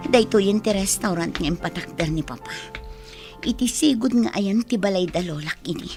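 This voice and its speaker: Headstrong young Filipino woman, very animated , actress performing. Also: medium pitch, moderate pace, music in the background, close to an everyday voice. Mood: curious, suspicious. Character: headstrong, jealous rich young daughter and wife. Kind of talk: Filipino audio drama acting.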